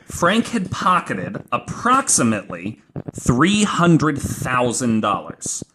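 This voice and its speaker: A man's voice: mid-range voice, wildly swooping pitch, slow, bright, forceful tone, slight background noise, low-quality recording. A man hosting a podcast, telling a story in an informal, joking way with some swearing. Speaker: podcast host telling a true crime story. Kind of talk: casual storytelling from notes.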